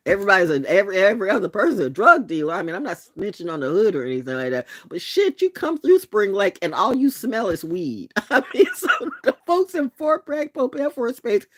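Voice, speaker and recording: A woman's voice: mid-range voice, very animated, a little fast, no echo. A woman in her late sixties, hosting a late-night vlog monologue, talking bluntly and with profanity, with laughs and restarts. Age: 60s. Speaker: channel host.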